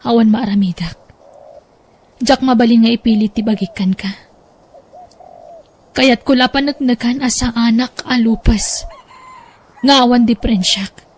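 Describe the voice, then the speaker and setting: Actress for sorrowful, pleading mother, radio drama, fairly high voice, Ilocano accent, emotional delivery. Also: steady tone, measured pace, long pauses, a little mellow, slight background noise, lightly acted. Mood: pleading, remorseful. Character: sorrowful, apologetic mother confessing about her child.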